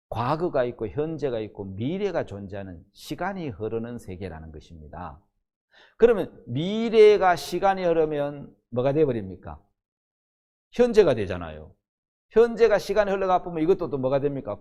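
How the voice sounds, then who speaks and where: A man's voice: medium pitch, big pitch swings, normal speed, darkish tone. A man in his sixties, a pastor teaching the Bible in a sermon, emphatic and often posing questions that he answers himself. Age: 60s.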